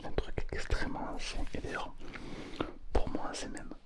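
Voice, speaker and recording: Whispering male ASMR performer. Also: normal speed. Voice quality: breathy, unvoiced whisper. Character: awed YouTube challenge adventurer showing ancient finds. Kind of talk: whispered ASMR roleplay storytelling.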